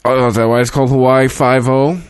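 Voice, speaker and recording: A man co-hosting a casual chat, making quick joking asides. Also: medium pitch, flat delivery, smooth, dry recording. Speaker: podcast co-host. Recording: talk over a song playing.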